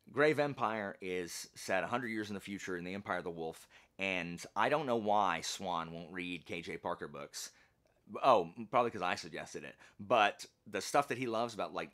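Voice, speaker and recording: A man hosting a book channel, chatting casually and emphatically in colloquial speech with self-deprecating humour. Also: fairly low pitch. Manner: animated, emphatic. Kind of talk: casual chatty vlog talk about books.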